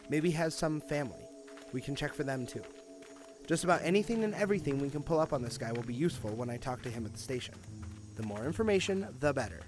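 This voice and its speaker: A man's voice: a little high, lightly acted. A man playing a cynical, impatient police detective in an audio drama, with a sarcastic, emphatic way of talking. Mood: wry, grumbling. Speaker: voice actor.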